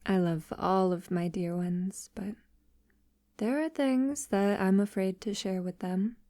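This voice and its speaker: A woman playing a centuries-old, wealthy vampire lover in an intimate ASMR audio roleplay, soft-spoken and half-whispering with hesitant pauses. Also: mid-range voice, no room sound, clean studio sound, natural voice. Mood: fond, wistful.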